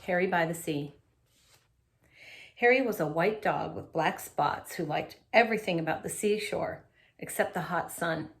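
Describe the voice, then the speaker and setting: A woman reading a children's picture book aloud in an animated, expressive way, adding little asides for young listeners. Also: lowish voice, moderate pace, gravelly.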